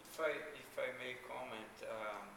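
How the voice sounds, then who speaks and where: A man's voice: medium pitch, steady tone, measured pace, vocal fry, distant mic. A man explaining his points as a panelist in a spoken discussion. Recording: echoey, off-mic, noisy room.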